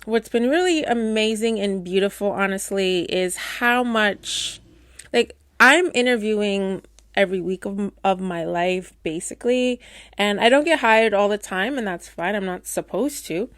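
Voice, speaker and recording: Middle-aged woman doula, stutters, open and encouraging, plain mid-pitched voice, dry close recording. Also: a little slow, no echo. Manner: stutters, warm and candid with playful asides. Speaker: doula and course teacher. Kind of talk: casual advice talk to camera.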